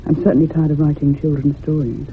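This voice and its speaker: An actress playing a depressed, lonely woman writer in her thirties, speaking in a measured, confiding in a radio drama. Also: low-pitched, fairly even intonation, a little hiss or hum. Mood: downcast, confiding. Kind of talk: radio drama acting and first-person narration. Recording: muffled lo-fi old radio recording.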